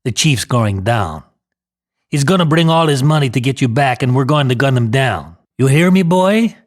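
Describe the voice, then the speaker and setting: A man voicing a brutal, bullying Italian mobster in an audio story, with a raspy accented voice and street-tough threats. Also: mid-range voice, expressive, moderate pace, pitched above the performer's own voice, close to an everyday voice. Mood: menacing.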